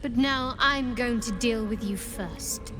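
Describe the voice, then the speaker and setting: A defiant, wounded young heroine of a fantasy video game. Her voice sits a little high and comes out slow, blunt and intense, over loud backing music. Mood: bitter, defiant. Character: defiant, wounded young woman confronting her former mentor. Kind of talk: dramatic video game voice acting.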